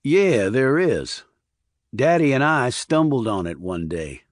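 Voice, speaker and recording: A man voicing a weary, rough-edged small-town man in an audiobook, talking in clipped, colloquial, blunt phrases. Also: medium pitch, very animated, slow, no room sound, clean studio sound. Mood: drowsy.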